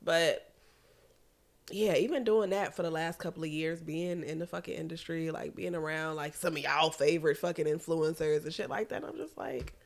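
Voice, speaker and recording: A woman's voice: deep voice, normal speed, gravelly. A woman, co-hosting a podcast in candid, profane casual chat, her voice congested. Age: 30s. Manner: candid, profane, laughs often. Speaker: podcast co-host.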